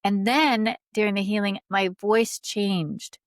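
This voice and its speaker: A woman's voice: medium pitch, fairly even intonation, slow, no room sound, studio quality. A woman guest on a podcast speaking informally and earnestly as a healer, sharing stories and testimonials in a conversational way. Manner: earnest, informal, frequent "like" and "right?". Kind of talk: conversational storytelling, reading out testimonials.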